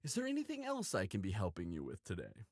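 A man's voice: lowish voice, wildly swooping pitch, fast talker, no echo, heavily acted. A man playing a cheeky, shrewd weaponsmith in an audio roleplay, with a playful, theatrical delivery. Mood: shrewd, delighted. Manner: playful, mock-wounded, dramatic. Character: cheeky, theatrical male weaponsmith and shrewd old family friend. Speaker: guest voice actor in audio roleplay. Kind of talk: scripted audio drama acting.

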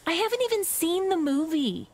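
A woman's voice: very high voice, animated, moderate pace, very noisy. An actress playing a tough, no-nonsense woman fighter in a video game, curt, sarcastic and dismissive. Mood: exasperated, sarcastic. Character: tough, no-nonsense, scornful female fighter, adult. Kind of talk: video game character dialogue.

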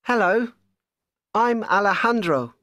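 A woman's voice: mid-range voice, lively intonation, slow pace, a bit bright, dry recording. A woman voicing a friendly sixteen-year-old boy from Spain in a scripted textbook dialogue, carefully spelling out letters. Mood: plain.

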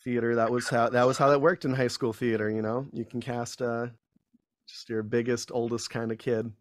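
A man's voice: mid-range voice, flat delivery, moderate pace, dry recording. A young adult man, a guest actor, talking casually and rambling with restarts over a video call on a studio mic. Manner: chatty, rambling, frequent restarts and fillers.

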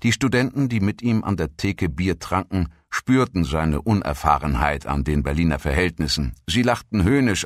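A man with a very low voice narrates a documentary. He reads from a script as a narrator. The sound is very dry, with hardly any room in it. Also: no room sound.

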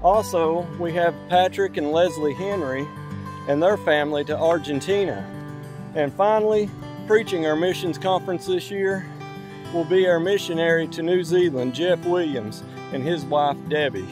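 A man in his forties delivering an earnest scripted monologue as a church vlog host, in measured, preacherly phrases. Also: a little high. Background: instrumental music.

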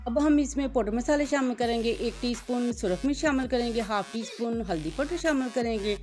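A woman's voice: a little high, mellow. A woman, hosting a cooking channel and narrating each step politely in a voice-over. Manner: step-by-step, polite, religious greetings.

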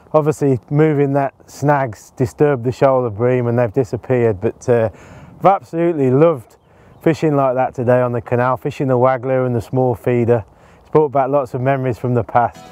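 A man in his forties explaining his canal fishing setup in a relaxed, casual way, with frequent ums, recorded outdoors on a lapel mic. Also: medium pitch. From England. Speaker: angling presenter. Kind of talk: casual instructional talk to camera.

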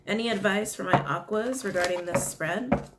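A young woman with a raspy, slightly worn voice reads tarot cards straight to the camera. She talks casually and chattily, often laughing and apologizing along the way. She is recorded in a small indoor room. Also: medium pitch, moderate pace, heavy background noise. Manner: chatty, giggly, apologetic asides. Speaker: tarot reader.

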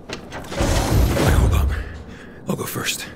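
An actor playing an earnest, determined young survivor hero in a video game, plain and urgent. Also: male voice, extremely slow. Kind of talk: cinematic video game acting. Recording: loud game music behind.

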